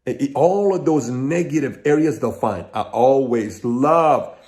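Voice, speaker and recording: A man's voice: mid-range voice, measured pace, darkish tone. A middle-aged man from Iran hosting his own channel, talking straight to his viewers in an emphatic way, working through his points as lists and often restarting. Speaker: channel host. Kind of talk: direct-to-camera commentary.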